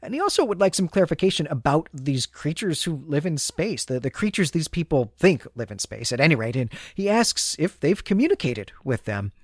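A man's voice: a little high, sing-song, a little fast, few pauses, no room sound, studio quality. A man hosting a podcast, recapping a story in a conversational, enthusiastic, explanatory way. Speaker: podcast host. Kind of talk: conversational literary recap with read-aloud passages.

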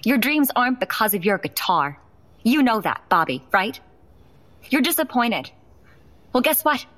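A firm, hurt young wife in an audio drama. Her voice sits a little high, and she is blunt and confrontational with her husband. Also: very animated, unhurried, a bit bright. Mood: bitter, firm. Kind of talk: naturalistic audio drama acting.

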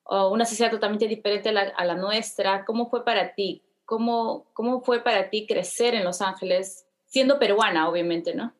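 A Peruvian woman hosting a podcast, asking her guest slow, careful interview questions. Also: medium pitch. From Peru. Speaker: podcast host.

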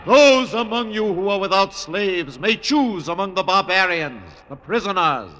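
An actor playing a grand, commanding Roman conqueror in a classic radio drama, declaiming with measured pomp. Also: male voice, sits high, very animated. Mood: solemn, grand. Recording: old radio recording, loud noise behind.

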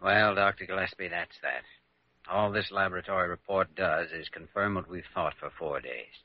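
Male actor as composed, sober young doctor, lowish voice, measured. Old radio drama, dull recording. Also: over music, natural voice. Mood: sober, regretful.

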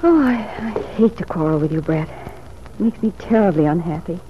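An actress playing an anxious, tearful married woman in love, speaking earnestly and haltingly in an old radio soap opera. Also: on the low side, lively intonation, natural voice. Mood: tender, pleading. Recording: dull, hissy old radio recording.

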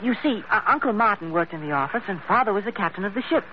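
An eager runaway boy in a vintage radio play. His voice is high, polite and hesitant, full of earnestness. The recording is tinny, like a narrow phone band. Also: expressive, quick pace, slight background noise. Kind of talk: old-time radio drama acting.

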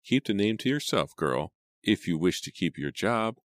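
A man's voice: low voice, lively intonation, moderate pace, no echo, clean studio sound, voice pushed up. Man playing a bossy, fretful old housekeeper woman. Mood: scolding, warning.

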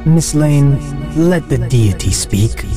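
A man's voice: mid-range voice, lively intonation, slow pace, over music. An actor playing a scheming billionaire villain with a self-assured, showman-like way of speechmaking in an audio drama. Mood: imperious. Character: smooth scheming billionaire villain addressing a crowd.

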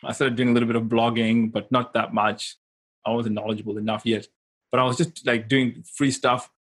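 A man's voice: mid-range voice, little pitch movement, a little fast, breathy edge. A man from Zimbabwe, a guest telling personal stories in casual, emphatic conversation and often checking in with his listener. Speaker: interview guest, consultant and coach.

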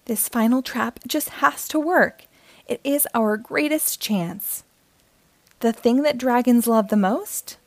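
A woman's voice: fairly high pitch, dry recording, heavily acted. A woman reading the part of an eager, determined child dragon hunter in an earnest storybook delivery. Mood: excited, hopeful.

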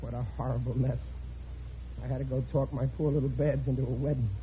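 A man's voice: mid-range voice, level tone, fast, over music, close to an everyday voice. An actor playing a blundering, good-hearted working-class father in an old radio comedy, stammering in folksy grammar. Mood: exasperated. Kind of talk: 1940s radio comedy acting. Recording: muffled, noisy radio broadcast with studio audience.

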